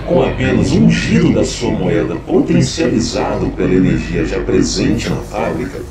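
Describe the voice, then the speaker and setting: A man's voice: sits low, big pitch swings, moderate pace, over a noisy crowd. An actor playing a cold, scheming male villain who wants to become a god, speaking in a menacing, condescending, theatrical way. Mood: grandiose. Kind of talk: audio drama acting. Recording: echoey, distant, loud score.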